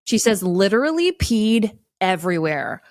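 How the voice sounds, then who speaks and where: A woman's voice: medium pitch, sing-song, moderate pace, darkish tone, no echo. A woman guest on a podcast, telling casual funny stories with wry candour and emphatic punchlines. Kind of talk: casual comedic anecdote telling.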